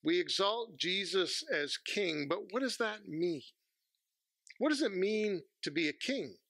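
A man, preaching a measured, earnest sermon from a church pulpit on a headset mic. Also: high-pitched, lively intonation, creaky, fairly bright tone, no echo. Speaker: pastor.

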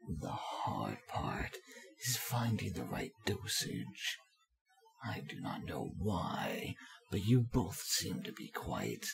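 A sinister supervillain in an audio drama, hissing low and creaky. He gloats in a menacing whisper, dragging out his words very slowly over music. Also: heavily acted, poor audio. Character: menacing male villain Scarecrow, slow, sinister, gloating. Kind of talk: audio drama villain acting.

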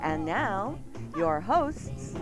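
A woman's voice: mid-range voice, big pitch swings, very slow. A woman hosting a live radio show in a playful, chatty manner. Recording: music bed behind 1990s radio broadcast. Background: music.

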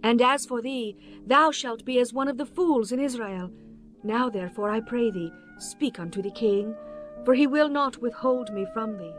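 A distressed young princess played by a woman in a dramatized scripture recording. She pleads in a high voice and old-fashioned formal phrases, with music playing underneath. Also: normal speed, close to an everyday voice. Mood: anguished pleading. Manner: pleading, archaic formal speech. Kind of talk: dramatized audio Bible acting.

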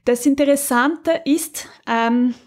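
A woman's voice: high-pitched, slow, darkish tone. A woman co-hosting a podcast, talking casually about a radio drama with many fillers, restarts and laughs. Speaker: podcast co-host.

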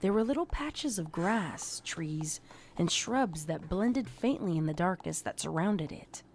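A woman narrating a scripted horror story in a measured, dramatic storytelling manner. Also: fairly low pitch, animated, darkish tone, slight background noise.

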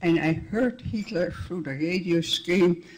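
A woman's voice: fairly low pitch, unhurried, a little mellow, breathy edge, rough recording. An older woman from the Netherlands with a Dutch accent, giving a plainspoken personal account to an audience. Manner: plainspoken, restarts sentences, wry. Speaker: guest speaker.

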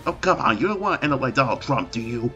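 A man's voice: medium pitch, lively intonation, quick pace, bright, forceful tone, highs cut off, faint music behind. A man playing a polite, urging military commander in an improvised comedy parody dub, with a businesslike manner. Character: brisk, deferential male military commander advising a president.

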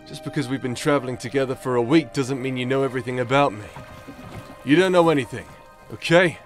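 A man's voice: medium pitch, quick pace, natural voice. Casting: male actor for a cocky rogue paladin, crude and flirty, teasing delivery, audio roleplay, faint music underneath. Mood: exasperated. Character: cocky, vulgar, flirtatious bastard knight paladin, younger brother. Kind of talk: anime-style audio roleplay acting.

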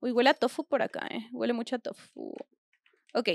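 High-voiced Mexican woman streaming a game. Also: fairly even intonation, fairly quick, creaky, no echo, clean studio sound. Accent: Mexican Spanish. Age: young adult. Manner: chatty, slangy, thinks aloud while playing. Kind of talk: casual gameplay commentary. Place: small indoor room at home.

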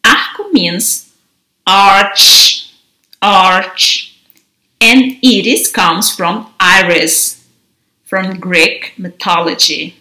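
A woman, teaching words to a camera in a bare room. She talks gently and spells out each word slowly. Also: mid-range voice, fairly even intonation. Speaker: language teacher. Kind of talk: slow, careful teaching to camera. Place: large, bare indoor room. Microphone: camera mic at desk distance. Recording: roomy echo of an empty room.

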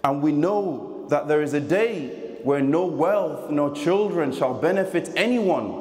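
A man preaches in a measured, emphatic manner, repeating phrases for weight. His voice sits a little high and moves up and down in animated swings. It carries through a large indoor hall with long reverb. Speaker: preacher giving a Friday sermon. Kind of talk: sermon. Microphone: microphone on a stand.

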